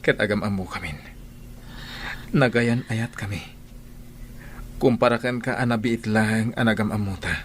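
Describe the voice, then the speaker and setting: A hesitant young husband played by a man, fairly low in voice. He sounds guilty and apologetic in radio drama style, with faint noise behind him. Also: fairly bright tone, close to an everyday voice. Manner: halting, apologetic.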